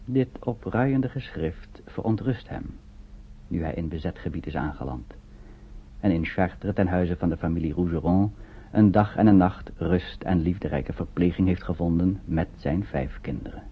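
A man narrates a scripted radio drama in a measured, storytelling way. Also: sits low, slightly rough. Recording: muffled narrow-band old radio recording.

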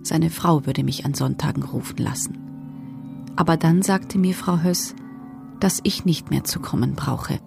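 An actress playing a former female camp prisoner who gives subdued, sober, measured testimony in a documentary radio drama. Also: sits low, gravelly, over music, heavily acted.

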